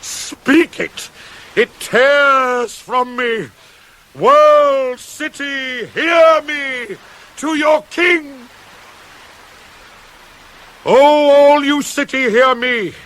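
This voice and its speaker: A man's voice: very high-pitched, fairly bright tone, heavily acted. An actor playing a proud, widowed ancient Greek king in a radio play, declaiming tragic verse with grief and rage. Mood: raging despair. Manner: declamatory, slow, heavily dramatic.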